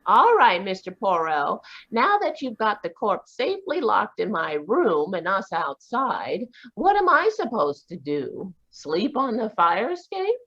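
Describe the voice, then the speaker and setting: An actress playing a defiant young woman suspected of murder in a 1940s-style radio play, quick and indignant in her retorts. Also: medium pitch, big pitch swings, fairly bright tone, slightly rough, dull audio, full character voice.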